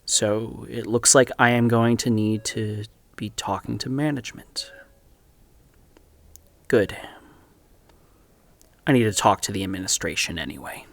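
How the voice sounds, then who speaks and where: An actor playing an awkward, apologetic young amateur investigator in an audio drama, talking in a halting, stammering way. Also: male voice, fairly low pitch, creaky. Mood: brooding. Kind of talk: naturalistic audio drama acting.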